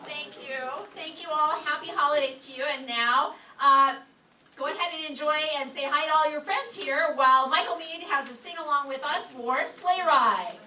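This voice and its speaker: A woman's voice: high voice, normal speed. A woman acting as host, making live closing announcements to an audience from the stage. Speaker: show host, master of ceremonies. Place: hall with audience and buffet. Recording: echoey hall, distant, loud crowd and noise.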